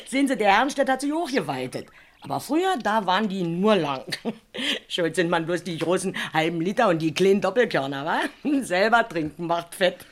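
A woman's voice: on the low side, animated, moderate pace, close to an everyday voice. An actress playing a cheeky, garrulous working-class older woman with a Berlin accent in a radio crime play. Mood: amused, gossipy. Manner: garrulous, folksy, colloquial. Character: chatty, cheeky older working-class woman, former cleaner, police witness.

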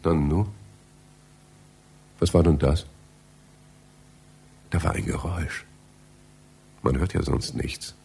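Deep-voiced, pedantic library reader. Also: male voice, creaky, a little mellow, a little hiss or hum, heavily acted. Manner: theatrical, pedantic, muttering to himself. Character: fussy, bewildered male library reader, pompous and grumbling. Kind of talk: radio play acting.

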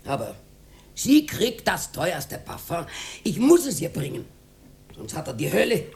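An actress playing a proud, aggrieved old cleaning woman with an Austrian accent and a husky, cracked voice in a radio drama. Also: lowish voice, wildly swooping pitch, measured pace, a little mellow, heavily acted. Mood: bitter, distraught. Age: older. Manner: emotional, rambling, swinging from mutter to outcry.

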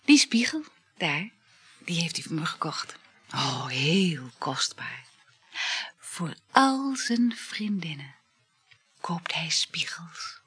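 Coy, teasing flirt for radio drama: lowish, very husky and breathy, swooping expressively. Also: female voice, very animated, takes it slowly, long pauses, pushed and bright, full character voice. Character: flirtatious, teasing woman boasting about her lovers. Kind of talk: Dutch radio play acting.

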